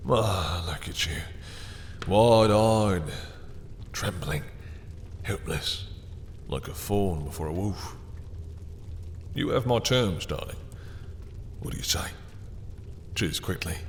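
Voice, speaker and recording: Man playing a very low, creaky seductive vampire. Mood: commanding, gloating. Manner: slow, silky, commanding, condescending endearments. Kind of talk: intimate roleplay audio drama acting. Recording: long reverb, faint background noise.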